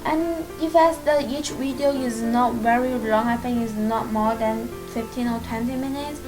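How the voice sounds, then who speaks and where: A woman with a Chinese accent and a slightly high voice talks her viewers through a lesson. Her English halts and she reaches for words with plenty of "um"s, but she keeps reassuring and encouraging them. Clear guitar music plays behind her. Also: fairly even intonation, low-quality recording. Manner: halting, searching for English words, many fillers. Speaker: language teacher and channel host. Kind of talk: casual spoken lesson to camera.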